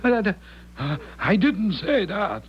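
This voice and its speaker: An actor playing an evasive, folksy country innkeeper with an Irish accent in a 1970s radio drama, his voice husky and high. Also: big pitch swings, takes it slowly, exaggerated voice. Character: evasive old-country Irish landlord of a country inn. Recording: dull lo-fi 1970s radio broadcast.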